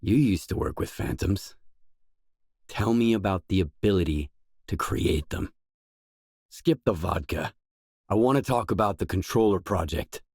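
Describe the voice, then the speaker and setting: A man's voice: low voice, darkish tone, no echo, studio quality. An actor playing a guarded, blunt male stalker, the protagonist of a post-apocalyptic video game, speaking in terse scripted lines. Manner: terse, blunt, probing questions.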